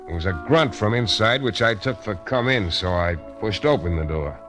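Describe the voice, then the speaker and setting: A man's voice: on the low side, lively intonation, moderate pace, fairly bright tone. An actor playing a wry, hard-boiled American private detective, narrating his case in a 1940s radio drama style. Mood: wry narration. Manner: wry, world-weary storytelling. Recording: old radio broadcast with music behind.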